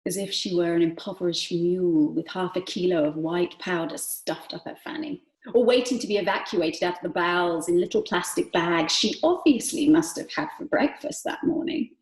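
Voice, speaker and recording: Echoey, low-pitched woman reading dramatically. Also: normal speed. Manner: expressive, dramatic, varying from hushed to emphatic. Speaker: actor reading from a novel. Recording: echoey room.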